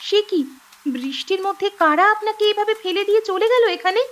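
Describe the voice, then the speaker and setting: A woman voicing high-pitched young village sisters. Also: a little fast. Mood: concerned. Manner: urgent, caring and earnest. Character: young village girl sisters, caring and brisk. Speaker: voice actor. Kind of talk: cartoon voice acting.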